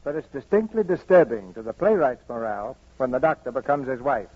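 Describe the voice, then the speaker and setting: A man's voice: mid-range voice, very animated, fairly quick, breathy edge. A man hosting and narrating in a polished, theatrical, scripted 1940s radio style. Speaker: host and narrator. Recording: dull vintage radio recording.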